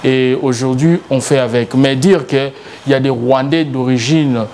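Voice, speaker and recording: A man speaking as a guest in a political debate, halting and often searching for words. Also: medium pitch, darkish tone, slight background noise. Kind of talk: political debate in French and Lingala.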